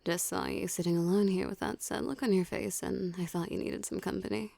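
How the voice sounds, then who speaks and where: Woman playing female mafia boss, mellow dark tone, gravelly fry, teasing, dominant, unhurried, ASMR roleplay. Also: medium pitch, steady tone. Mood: cool, flirtatious. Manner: smooth menacing flirtation, condescending, unhurried. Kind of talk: ASMR roleplay, scripted character acting.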